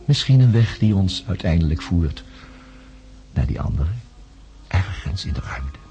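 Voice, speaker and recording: An actor playing a reflective man who studies the human mind, narrating a radio play in a solemn, contemplative way with dramatic pauses. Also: low-pitched, very animated, mellow. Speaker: narrator recounting events.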